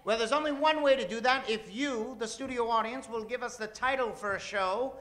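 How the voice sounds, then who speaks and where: High, bright-voiced male stage host. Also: brisk. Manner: theatrical announcer delivery, drawing out names. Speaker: host of a live improvised radio comedy show. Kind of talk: stage hosting, bantering with a studio audience. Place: live on stage in a theater.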